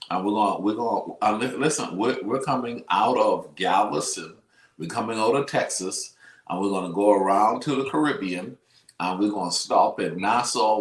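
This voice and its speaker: A man from the Bahamas with a Bahamian accent, hosting a talk show in an upbeat, excitable way and repeating his phrases. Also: lowish voice, echoey room. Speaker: host. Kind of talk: casual talk show chat.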